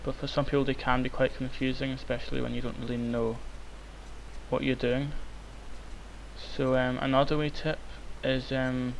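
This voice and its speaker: A young man, hoarse and croaky from illness, rambling with many ums through a software tutorial. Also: mid-range voice, flat delivery, normal speed, poor audio. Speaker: tutorial maker. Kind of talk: screen-recorded software tutorial. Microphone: cheap microphone. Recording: faint hiss, cheap mic.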